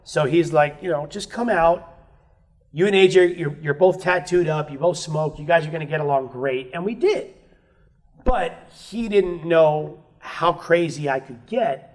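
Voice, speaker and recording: A man's voice: on the high side, a little slow. A man on a podcast telling candid stories about his past, swearing freely and acting out other people's lines. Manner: frank, swears freely, acts out quoted voices. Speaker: guest musician. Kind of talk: candid storytelling in casual chat.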